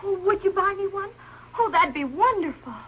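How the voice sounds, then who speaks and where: An American teenage daughter, earnest and given to melodrama, in old sitcom style. Her girlish voice is high, and the television soundtrack is dull. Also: animated, normal speed. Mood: eager. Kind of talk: 1940s TV sitcom acting. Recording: muffled 1940s television soundtrack.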